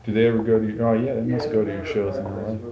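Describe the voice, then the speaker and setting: A man's voice: on the low side, flat delivery, very fast. Distant, echoey American male interviewer. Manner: informal, frequent short agreeing responses. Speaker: music journalist interviewing. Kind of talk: casual interview chat. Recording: distant, echoey muffled tape with crowd chatter.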